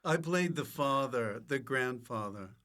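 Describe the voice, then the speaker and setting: Guy hosting a comedy podcast, mid voice, kinda deadpan and oddball, chatty. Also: medium pitch, unhurried. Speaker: podcast host. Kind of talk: casual comic interview chat.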